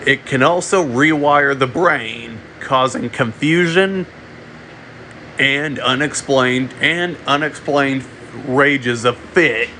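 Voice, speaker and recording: A man delivering a mock emergency alert in a halting way, often repeating and restarting his phrases. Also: mid-range voice, bright, forceful tone, a little hiss or hum. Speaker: amateur narrator of a fictional emergency broadcast. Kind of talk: mock emergency alert announcement, semi-improvised.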